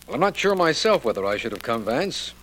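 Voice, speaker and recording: An actor playing a formal, polite district attorney in an old-time radio drama. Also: male voice, mid-range voice, very animated, brisk, a bit bright. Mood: formal, uneasy. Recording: faintly noisy old radio recording.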